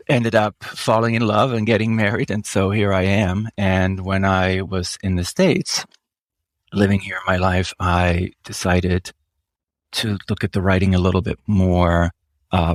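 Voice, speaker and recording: Man, 50s, very low voice, measured reflective interview talk, dry close sound. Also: dry recording. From Switzerland. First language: German. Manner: measured, reflective, slows down often, frequent fillers. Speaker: author guest. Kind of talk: interview answers.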